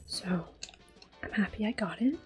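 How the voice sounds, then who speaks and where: Whispering woman, soft ASMR gameplay. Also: medium pitch, lively intonation, measured pace. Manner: soft whispering, gentle, hesitant with ums. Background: faint game music and controller clicks.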